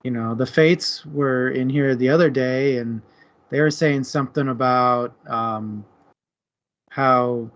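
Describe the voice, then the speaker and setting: A man's voice: medium pitch, fairly even intonation, slow pace, muffled recording. A man co-hosting a casual book discussion, speaking informally and loosely.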